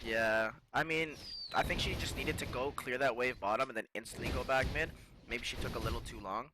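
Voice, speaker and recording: Excitable man casting a live esports match. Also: a little fast. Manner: excitable play-by-play, rises high in fights. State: slightly sick, sniffling. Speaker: esports caster. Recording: noisy live stream mix.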